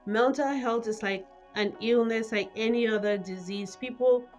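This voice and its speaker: A woman's voice: on the high side, moderate pace, darkish tone, muffled recording, faint music behind. A middle-aged woman from Cameroon, talking informally as an interview guest with plenty of conversational filler phrases. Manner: informal, peppers talk with "you know". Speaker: guest, mental health nurse practitioner.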